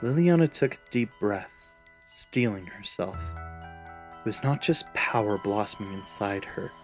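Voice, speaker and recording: A man's voice: fairly low pitch, lively intonation, unhurried, over music. A man narrating a scripted fantasy story in a dramatic storytelling style.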